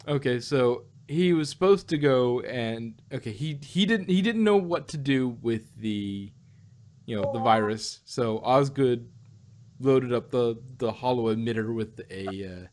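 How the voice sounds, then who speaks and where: Animated, stammering man chatting casually. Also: medium pitch, measured pace. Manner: rambling, stammering, repeats himself. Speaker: podcast co-host reviewing audio drama. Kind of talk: casual chat.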